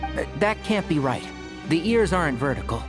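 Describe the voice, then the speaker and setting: A man's voice: on the high side, very animated, normal speed, over music. A man playing a dry, skeptical and clever young scholar in a video game, with wry delivery. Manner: wry, sarcastic. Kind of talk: scripted video game dialogue.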